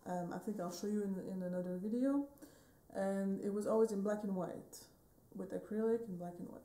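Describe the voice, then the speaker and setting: Dark-voiced woman in her thirties chatting. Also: medium pitch, brisk. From Switzerland. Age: 30s. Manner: relaxed, rambling with many fillers and self-corrections. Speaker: painting vlogger. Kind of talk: casual tutorial chat. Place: home studio room, indoors, wooden shelves. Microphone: phone camera at desk distance.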